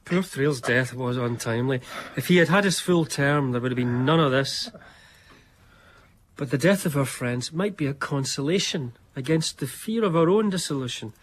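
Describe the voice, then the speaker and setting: An actor playing an earnest young 18th-century gentleman in a radio drama, gently probing. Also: medium pitch, normal speed, slight background noise, lightly acted.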